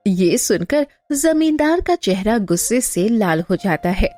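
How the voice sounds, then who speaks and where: A woman's voice: mid-range voice, very animated, a little slow, dark tone, faint music behind. A woman narrating a scripted cartoon moral story as its storyteller.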